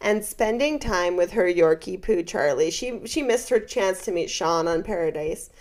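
Woman podcast co-host, wry and chatty, lively pitch. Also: normal speed. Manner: chatty, wry, laughs often. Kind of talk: casual chat reading cast bios.

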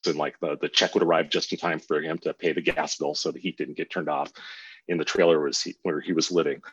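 Dull roomy recording of a deep husky american guy, middle-aged, chatting casually in an interview, kinda rambling. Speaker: guest author. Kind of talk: reflective interview storytelling.

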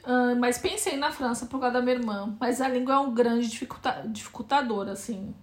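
A woman's voice: fairly high pitch, fairly quick. A woman in her forties with a Brazilian accent, answering questions in a casual interview, thoughtful and hesitant, often restarting her phrases. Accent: Brazilian Portuguese. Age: 40s. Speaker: interviewee, Brazilian immigrant in Portugal.

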